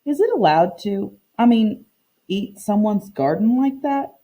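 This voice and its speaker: A woman's voice: mid-range voice, animated, a little slow, dark tone. A woman voicing a curious, polite young boy with eager questions in a children's picture book read-aloud. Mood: puzzled, polite.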